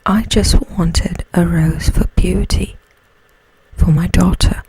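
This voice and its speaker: A timid, gentle storybook father played by a woman, speaking in a husky whisper. He sounds tentative and wondering, with slow phrases suited to a bedtime tale. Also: fairly low pitch, fairly even intonation, no echo, full character voice, poor audio. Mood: timid, apologetic.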